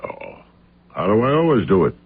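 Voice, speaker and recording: An actor playing a cocky, nonchalant television detective star in a radio drama, with a husky voice. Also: male voice, fairly low pitch, wildly swooping pitch, normal speed, heavily acted. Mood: casual, pleased. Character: cocky male TV detective star lost in his role. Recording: tinny old radio drama recording.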